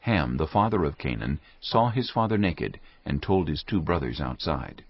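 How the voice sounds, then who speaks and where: A man narrating scripture from a script in a solemn, deliberate, measured way. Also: rumbling low, expressive, breathy edge. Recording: dull audio with loud music behind.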